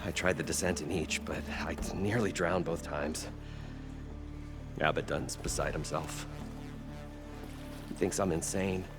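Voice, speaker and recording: Eager showman inventor, low voice, dramatic flowery storyteller, game cutscene, music behind. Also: fairly even intonation, a little slow, long pauses. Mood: excited, shaken, then troubled, determined. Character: eager, theatrical male inventor and showman chasing treasure. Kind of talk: video game cutscene acting.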